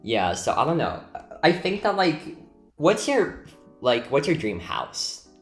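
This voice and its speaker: A man's voice: a little high, very animated, measured pace. Lithuanian guy chatting casually, kinda rambly, echoey room, bit of music under it. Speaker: video creator and builder hosting a group chat. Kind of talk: casual chat over a game build video. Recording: roomy echo, music behind. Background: background music.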